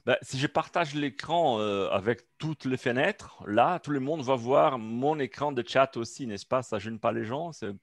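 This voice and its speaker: A man's voice: medium pitch, a little slow, few pauses, dry recording, clean studio sound. A man speaking French as a second language, giving a seminar talk over a video call, hesitant with many fillers and restarts. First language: not French. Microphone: computer mic on a video call.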